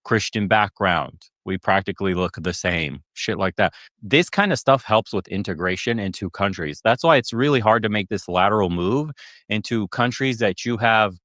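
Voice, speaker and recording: A lower-pitched man gives opinionated commentary in a measured, lecturing manner. His voice is recorded dry with no room echo. It sounds like a clip played from a podcast. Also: fairly bright tone.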